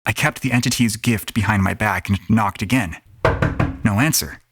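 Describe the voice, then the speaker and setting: A man's voice: lowish voice, moderate pace, a bit bright, a little hiss or hum. A man playing a jaded antigravity racing pilot, telling his story in a blunt, colloquial, world-weary way. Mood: reflective. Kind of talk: first-person audio drama narration and acting. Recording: voice over music. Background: music.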